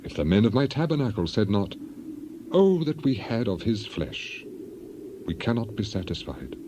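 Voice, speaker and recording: Male actor as righteous suffering man, dramatized scripture, emphatic declamation, lively low voice, noisy background. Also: moderate pace. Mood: solemn, insistent.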